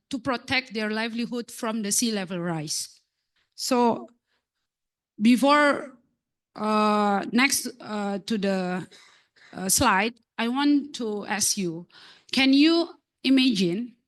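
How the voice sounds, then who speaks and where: A woman from Indonesia with an Indonesian accent, presenting her research at a conference, pausing often with fillers. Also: a little high.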